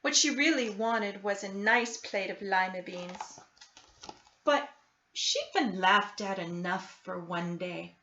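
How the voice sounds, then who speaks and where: A woman reading a children's picture book aloud expressively, with deliberate dramatic emphasis. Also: mid-range voice, lively intonation, bright, forceful tone, slight background noise. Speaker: storybook reader. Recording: roomy, slightly dull sound.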